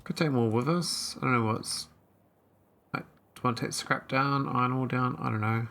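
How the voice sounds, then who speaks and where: Chatty man with a gravelly voice. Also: medium pitch, moderate pace, pushed and bright, a little hiss or hum. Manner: thinking aloud, rambling with fillers. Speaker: gameplay streamer. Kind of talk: casual let's-play commentary.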